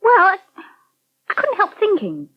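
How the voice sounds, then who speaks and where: An actress playing a distracted, gently anxious young English waitress in a 1980 British radio soap, hesitant and faltering. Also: female voice, very high-pitched, wildly swooping pitch, measured pace, heavily acted. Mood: awkward, anxious. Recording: dull, band-limited old radio recording.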